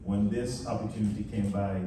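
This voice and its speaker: Man, lowish voice, earnest panel speaker; far mic, echoey hall, background noise. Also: steady tone, normal speed. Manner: earnest and urging, builds short lists. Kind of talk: speaking to a live audience. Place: event hall.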